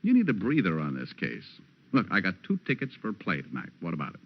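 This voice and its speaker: An actor playing a hard-boiled private detective with an American accent, narrating his case with wry, deadpan wisecracks in an old radio drama. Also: low-pitched, fast talker, full character voice. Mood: easygoing, dry. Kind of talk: 1940s-50s radio detective drama acting. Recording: dull old radio recording with music.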